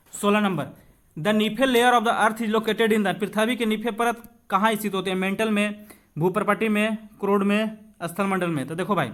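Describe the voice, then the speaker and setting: A man's voice: sits high, a bit bright. A man teaching an informal exam-prep lesson, reading questions aloud and explaining them, asking and answering his own questions as he talks directly to students.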